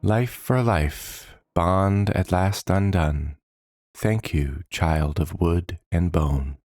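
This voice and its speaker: A man voicing a gentle, grateful forest spirit in a fantasy story, soft and ceremonial. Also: low voice, drawn-out words, no room sound, clean studio sound, full character voice. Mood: grateful, solemn. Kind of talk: fantasy audio story character.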